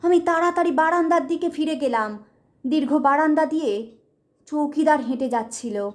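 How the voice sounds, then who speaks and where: A woman narrating a scripted ghost story in a measured, deliberate, dramatic way. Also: high voice.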